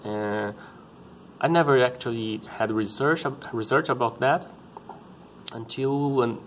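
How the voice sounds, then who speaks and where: A man in his thirties with a Brazilian Portuguese accent, speaking English as a second language, telling his story in a halting and earnest way, often restarting to find words. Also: mid-range voice, slight background noise. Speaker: parent sharing his experience. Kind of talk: casual personal vlog talk.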